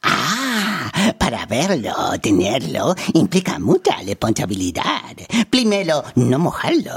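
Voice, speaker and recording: A man's voice: medium pitch, gravelly, slightly rough, heavily acted. A man playing a shady, theatrical keeper of an exotic antiques shop in a parody radio drama. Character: shady exotic antiques shopkeeper, solemn, easily excited.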